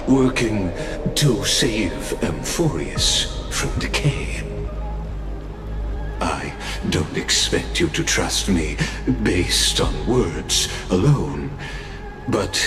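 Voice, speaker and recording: A man voicing a composed, solemn, cryptic elder watcher in a video game, with formal, theatrical, measured delivery. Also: sits low, roomy sound, full character voice. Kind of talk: scripted video game voice acting.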